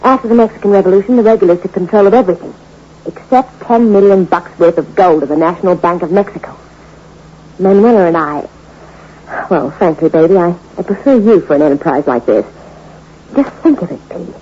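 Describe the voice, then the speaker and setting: An actress playing a scheming young femme fatale in an old radio drama, coaxing and persuasive. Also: female voice, on the low side, brisk, breathy edge. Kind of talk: 1950s radio drama acting. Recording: dull, hissy old radio recording.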